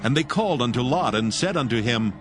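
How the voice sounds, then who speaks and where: A man narrating scripture in a measured, solemn reading. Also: mid-range voice, lively intonation, crowd noise. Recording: narration over music.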